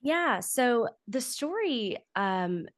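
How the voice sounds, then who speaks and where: A woman's voice: on the high side, sing-song, drawn-out words, dry recording, clean studio sound. A woman speaking as an interview guest, explaining her own creative work conversationally with loose asides. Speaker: podcast guest, writer and audio drama producer.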